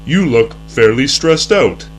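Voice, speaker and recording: A man voicing a concerned, wholesome high school boy with stiff, earnest delivery, in 1960s educational film dialogue. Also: animated, slow, slight background noise.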